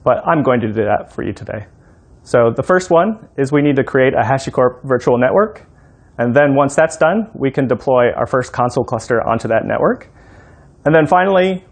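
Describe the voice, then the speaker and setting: A man in his thirties walks through a software demo. His voice is mid-pitched with plenty of vocal fry, and he explains things one step at a time. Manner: instructional, step by step. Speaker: software engineer, demo presenter. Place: room in a home.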